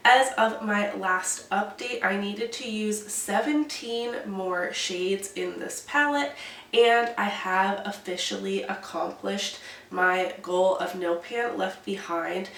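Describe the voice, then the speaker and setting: A woman's voice: medium pitch, slow, a bit bright. Congested woman in an echoey room. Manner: chatty, detailed product talk. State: congested from a cold. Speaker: beauty vlogger. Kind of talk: casual makeup update vlog. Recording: echoey room, distant mic, faint hiss.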